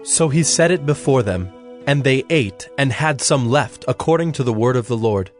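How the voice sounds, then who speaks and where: A man reading scripted Bible narration in a measured, solemn manner. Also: medium pitch, lively intonation, faint music behind.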